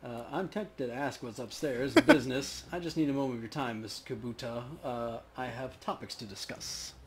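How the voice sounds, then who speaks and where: A man's voice: medium pitch, moderate pace. A man hosting a let's play, giving casual running commentary on the game. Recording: faint background noise.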